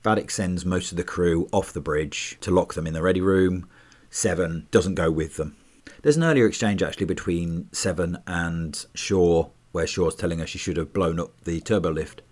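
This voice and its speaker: A man giving a casual spoken review of a TV episode. His voice is stuffy and congested, and he talks in a chatty, measured way with small restarts. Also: low voice.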